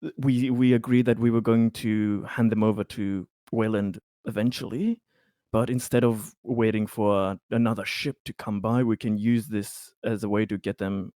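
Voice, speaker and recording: A man playing a tabletop roleplaying game in character, speaking deliberately and haltingly, often repeating his words. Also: fairly low pitch, steady tone, warm tone, dry recording, studio quality.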